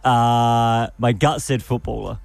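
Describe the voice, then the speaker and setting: A man's voice: medium pitch, fairly even intonation, takes it slowly, gravelly. An Australian man in his thirties co-hosting a breakfast radio show, easygoing and self-mocking, laughing along. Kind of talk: casual radio banter. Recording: dry studio mic with faint music bed.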